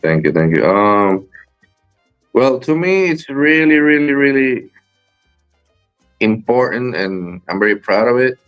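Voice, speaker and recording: A man from Rico, a guest wrestler chatting in an interview, halting with many fillers and restarts. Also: medium pitch, animated, a bit bright, soft background music. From Puerto Rico. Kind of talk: casual interview chat.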